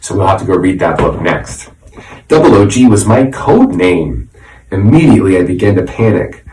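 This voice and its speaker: A man reading a children's story aloud in an animated, dramatic way, with explanatory asides. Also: low voice, normal speed. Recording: roomy echo, distant microphone.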